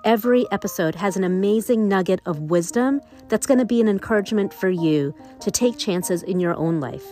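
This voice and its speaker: An upbeat woman hosts a podcast and reads her guest's introduction with plenty of enthusiasm. Her voice sits at a middle pitch, and soft music plays faintly underneath. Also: animated, a little fast. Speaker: podcast host. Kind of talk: scripted intro and interview chat.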